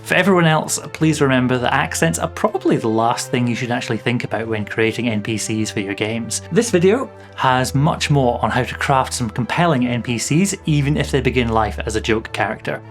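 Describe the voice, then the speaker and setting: A man's voice: mid-range voice, expressive, a little fast, hardly any pauses, faint music behind. A Scottish man, a tabletop game master, giving friendly but opinionated tips in scripted narration.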